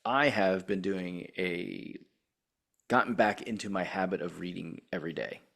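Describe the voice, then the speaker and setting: A man's voice: deep voice, moderate pace, creaky, a bit bright. A man in his forties co-hosting a podcast in casual conversation. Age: 40s. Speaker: podcast co-host. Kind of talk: casual chat.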